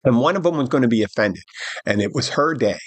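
A middle-aged man with a husky, slightly breathy voice tells a long story in a casual podcast monologue. He rambles, restarts his sentences and acts out the quoted dialogue. The recording is dry and close to the mic. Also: mid-range voice, expressive, fast talker, no room sound. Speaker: podcast host and bartender.

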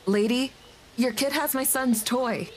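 A woman's voice: sits high, a little slow, a little hiss or hum. A woman playing a hardcore, no-nonsense, big-hearted single mother in a comedy audio drama, blunt and protective. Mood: indignant.

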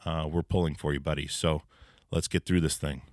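Deep-voiced man hosting a podcast. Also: brisk, no echo, clean studio sound. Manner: relaxed, rambling with many fillers. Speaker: podcast host. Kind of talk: casual interview chat. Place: old house basement.

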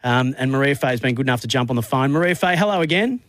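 A man's voice: medium pitch, lively intonation, very fast, fairly bright tone, dry recording. A man hosting a radio interview, enthusiastic, asking long build-up questions with fillers. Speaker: radio host.

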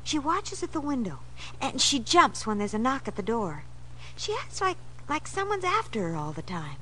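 Effusive, bookish American woman: highish, very animated, affected, nervous, old radio drama. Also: normal speed, poor audio. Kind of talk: 1940s radio drama acting. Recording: old radio recording, faint noise.

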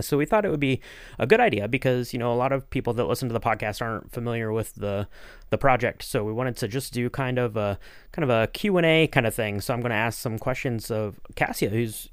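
A man's voice: mid-range voice, dry recording, studio quality. A man hosting a podcast interview in a casual, rambling, informal manner. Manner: rambling, informal, often saying you know.

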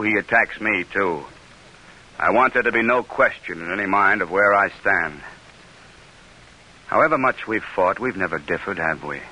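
An actor playing a middle-aged senator, grave, earnest and formal in delivery, in an old-time radio drama. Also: male voice, low voice, sharp tone, exaggerated voice, rough recording. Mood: solemn, resolute. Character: defeated middle-aged senator, earnest, weary, devoted statesman. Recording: hissy vintage radio broadcast recording.

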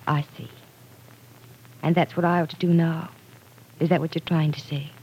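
A woman's voice: low-pitched, level tone, a little mellow, breathy edge, poor audio. An actress playing a direct, earnest woman in an old-time radio drama, questioning firmly and persistently. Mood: concerned. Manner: firm, measured, probing.

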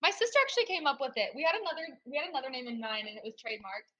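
Bright, upbeat woman interview guest. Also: high voice, very animated, rapid-fire. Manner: upbeat, eager storytelling. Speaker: podcast guest, business co-founder. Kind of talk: casual interview storytelling.

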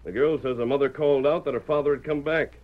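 An actor playing a calm, firm masked cowboy hero in an old-time radio western, speaking with deliberate authority. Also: male voice, medium pitch, close to an everyday voice. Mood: firm, reasoning. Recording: muffled vintage radio recording.

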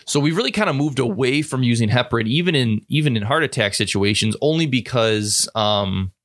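A man's voice: medium pitch, expressive, fairly quick, fairly bright tone, no room sound, studio quality. A man co-hosting a medical podcast, explaining in a casual way with lots of ums and restarts. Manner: explains at length with frequent hedging fillers. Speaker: podcast co-host.

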